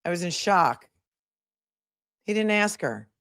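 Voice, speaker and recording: A woman with a deep-set voice comments on a trial as a livestream host. Her delivery is casual and skeptical, full of opinionated asides, and the sound is dry with very little room in it. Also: animated, slow, fairly bright tone, no room sound.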